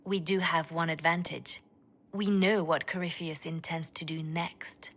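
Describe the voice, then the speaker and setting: An actress voicing a cool, composed spymaster in a fantasy game, speaking in a quiet, even way. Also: female voice, deep voice, a little slow, full character voice. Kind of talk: video game dialogue acting. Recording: game audio with soft music behind.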